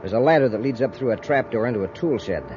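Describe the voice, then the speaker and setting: An actor playing a cold, scheming, bossy crook in a 1950s radio drama, speaking curtly. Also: male voice, medium pitch, fast, darkish tone, lightly acted. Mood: confident. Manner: curt, bossy. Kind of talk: 1950s radio villain acting. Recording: muffled, faintly noisy 1950s radio recording.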